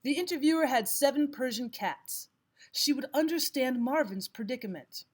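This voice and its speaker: A woman teacher giving scripted instructional narration, explaining and reading examples out deliberately. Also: sits high, expressive, husky. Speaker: language arts teacher.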